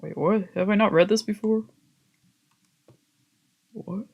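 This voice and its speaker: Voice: mid-range voice, slow pace, gravelly, dull audio. A streamer giving casual, reactive let's play commentary on a visual novel, often muttering and exclaiming to themself.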